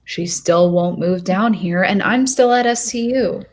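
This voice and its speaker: A woman narrating a short story in the first person as a lovesick, insecure young woman, earnest and expressive. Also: mid-range voice, a little slow, lightly acted. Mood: sad, insecure.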